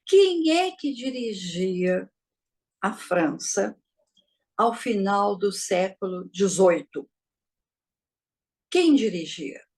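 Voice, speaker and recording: A woman giving a teaching talk, measured and posing rhetorical questions to her listeners. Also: medium pitch, expressive, darkish tone. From Brazil. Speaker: spiritist lecturer. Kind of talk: didactic talk to an audience.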